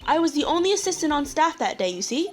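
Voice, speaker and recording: A woman plays an earnest young female props assistant from a video game. She has a high voice and a polite, slightly hesitant way of explaining things, with faint music behind her. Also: sing-song, quick pace. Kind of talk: fan-dub game character acting. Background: background music.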